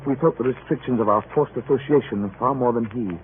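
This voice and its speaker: A man's voice: mid-range voice, lightly acted. Man playing an earnest sea captain, old radio. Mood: grave, weary. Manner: earnest, formal, deliberate. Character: earnest, beleaguered, formal male ship's captain narrating his ordeal. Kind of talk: 1940s radio drama acting and narration. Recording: old narrowband radio recording with clear noise.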